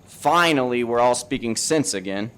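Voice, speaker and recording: A man's voice: mid-range voice, expressive, moderate pace, fairly bright tone, clean tone, natural voice. A man acting as a cornered undercover federal agent in a comedic radio play, earnest and exasperated. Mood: earnest, relieved.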